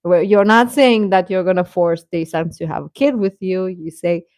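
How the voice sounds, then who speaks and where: A woman's voice: on the low side, normal speed, a little mellow, no echo, clean studio sound. A woman hosting a livestream, chatting casually and playfully with her viewers. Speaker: livestream host. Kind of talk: casual chat with live viewers.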